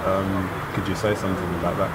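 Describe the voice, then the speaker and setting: Deep-voiced man, distant, loud traffic. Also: fast talker. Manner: relaxed, musing, frequent "like". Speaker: poet. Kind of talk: casual conversation. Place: outdoors by a city waterfront with traffic.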